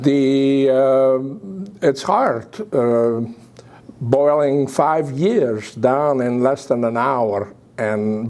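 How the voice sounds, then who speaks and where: Slow, mellow older Belgian man. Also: medium pitch. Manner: halting, hesitant delivery with pauses. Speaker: guest speaker. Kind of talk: public talk of wartime memories.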